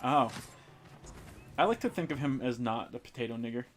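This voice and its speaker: A man streaming a video game and chatting casually, blunt and profane, with excitable outbursts. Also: medium pitch, normal speed, slight background noise.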